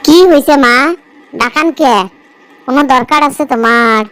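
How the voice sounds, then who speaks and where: A woman's voice: sits high, moderate pace, fairly bright tone, slight background noise. A woman voicing a plaintive young village stepdaughter in a cartoon story, with a northern Bangladeshi accent. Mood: dutiful. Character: put-upon young village stepdaughter, dutiful but plaintive. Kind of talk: amateur cartoon story voice acting.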